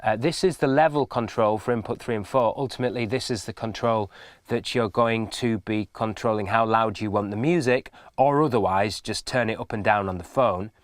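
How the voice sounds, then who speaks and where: A man's voice: on the low side, normal speed, no echo. A man giving an informal, hands-on tutorial, explaining things patiently step by step. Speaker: instructor. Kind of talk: casual spoken hands-on tutorial.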